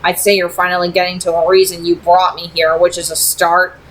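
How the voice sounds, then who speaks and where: A woman's voice: lowish voice, flat delivery, brisk, close to an everyday voice, poor audio. Woman doing a young vampire dude in a story, wary, plain-spoken, kinda hesitant, some background noise.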